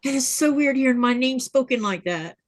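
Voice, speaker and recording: A middle-aged woman with a somewhat high voice hosts a relaxed sewing livestream. She rambles from one story to the next in a loose, chatty way and breaks off to read out viewer comments. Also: brisk, no room sound. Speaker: livestream host. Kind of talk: casual chat during a sewing livestream.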